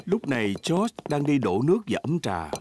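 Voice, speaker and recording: A man's voice: mid-range voice, big pitch swings, normal speed, heavy background noise. A man narrating a children's cartoon from a script in a simple storytelling manner.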